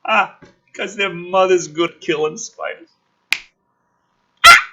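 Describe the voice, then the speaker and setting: A man's voice: high voice, takes it slowly, pushed and bright, lo-fi sound, slight background noise. A man reading a script dramatically and breaking off into sarcastic, exasperated, sweary commentary as the host.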